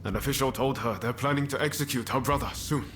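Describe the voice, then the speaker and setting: A man acts an earnest rebel comrade from a samurai-era video game. His voice is medium in pitch, friendly and take-charge, with a brisk conversational delivery over faint music. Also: a bit bright, close to an everyday voice. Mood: serious, urgent. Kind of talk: dramatic video game voice acting.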